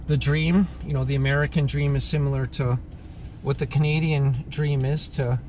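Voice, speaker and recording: A man in his thirties, originally from Croatia, talking through his opinions in a casual monologue, pausing often and clearing his throat. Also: medium pitch, steady tone, a little mellow, a little hiss or hum. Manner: halting, frequent throat clearing and restarts. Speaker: vlogger. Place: in Alberta, Canada.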